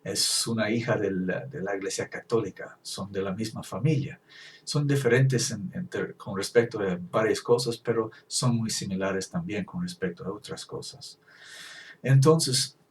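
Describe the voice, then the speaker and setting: Non-native man preaching, slow scripture reading. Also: lowish voice, recorded from a distance, a little hiss or hum. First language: English. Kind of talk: Bible teaching.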